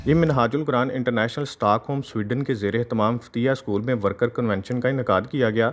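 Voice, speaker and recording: A male correspondent reads a formal news report in a steady, measured voice. His pitch sits in an ordinary middle range, and the delivery stays even and composed throughout. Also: faint music behind. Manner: formal, even-paced reading. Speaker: field reporter.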